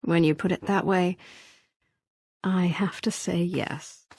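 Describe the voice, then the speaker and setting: An actress playing a weary, forgiving female commander in a sci-fi game, measured and composed. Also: on the low side, steady tone, darkish tone, no echo, full character voice. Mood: teasing, tender. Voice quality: gravelly, husky. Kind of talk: video game cutscene acting.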